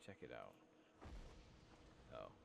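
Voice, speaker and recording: A man murmurs casual video game commentary in a hushed, intimate ASMR style. His voice is extremely deep and heavily creaky, yet it moves around in pitch. It is recorded close, with noticeable noise behind it. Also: sing-song, slow pace. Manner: hushed, intimate, at times slipping into whispers; casual, mildly sweary. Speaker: host of a gaming ASMR channel. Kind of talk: soft-spoken ASMR game playthrough commentary.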